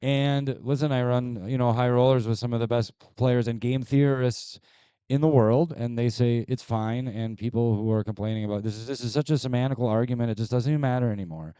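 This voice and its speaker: Mellow man arguing on a panel. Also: mid-range voice, fairly quick, clean tone, dry recording. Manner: argumentative, candid. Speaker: tournament director on a panel. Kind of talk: panel discussion.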